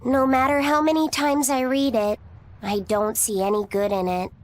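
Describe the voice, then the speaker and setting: A woman voicing a gentle, polite, studious young boy in a video game, speaking softly and earnestly. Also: on the high side, flat delivery, moderate pace, close to an everyday voice. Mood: thoughtful. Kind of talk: animated video game dialogue.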